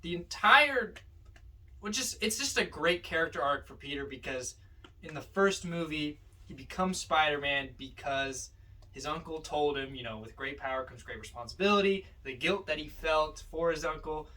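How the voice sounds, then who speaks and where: A man's voice: fairly high pitch, animated, moderate pace, bright, forceful tone. A man co-hosting a podcast in a casual film discussion, often posing rhetorical questions, recorded at some distance from the mic. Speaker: podcast co-host.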